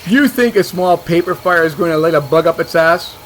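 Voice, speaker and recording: Man acting a cocky, high-pitched monster hunter. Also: a little fast, a bit bright, a little hiss or hum, natural voice. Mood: stubborn. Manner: sarcastic, stubborn.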